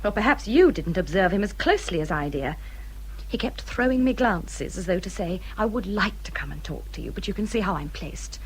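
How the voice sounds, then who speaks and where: An English actress playing a self-important, fretful young married gentlewoman in a period radio drama, plaintive and gushing. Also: medium pitch, lively intonation, brisk, background noise, lightly acted. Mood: smug, snobbish.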